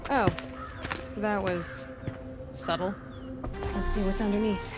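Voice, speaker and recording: A woman's voice: mid-range voice, fairly even intonation, slow, natural voice. An actress voicing a determined young female hunter who speaks tersely and dryly. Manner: terse, dry, self-reliant. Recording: game cutscene with music.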